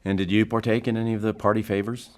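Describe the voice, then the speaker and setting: An actor playing a calm, probing bureau therapist in an audio drama, speaking in a formal, deliberate way. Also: male voice, lowish voice, natural voice.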